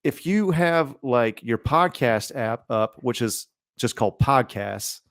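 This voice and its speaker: A man's voice: medium pitch, animated, takes it slowly, a bit bright, no echo, clean studio sound. A man co-hosting a podcast in casual chat, with a slangy, sweary, conversational way of talking. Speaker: podcast co-host.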